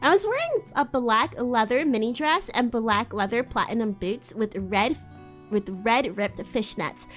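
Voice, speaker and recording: A woman's voice: a little high, lively intonation, unhurried, soft background music. A woman livestream host doing a giggly, theatrical comedic reading, often breaking off into asides. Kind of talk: live comedic dramatic reading of fan fiction.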